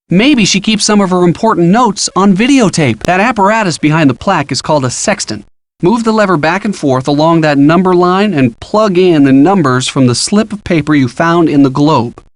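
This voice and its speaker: An eager young male sidekick from a detective video game. His voice sits fairly high, and he gives earnest, encouraging hints, clearly scripted, in a dry, close recording. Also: no room sound. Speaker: hint-giving game character.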